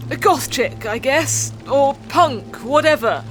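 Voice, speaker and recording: A man's voice: extremely high pitch, expressive, takes it slowly, fairly bright tone, faint music behind, exaggerated voice. A man playing a frantic, blunt, sweary teenage boy in an audio drama. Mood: tense, blunt. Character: panicked, sweary British teenage boy searching for sister.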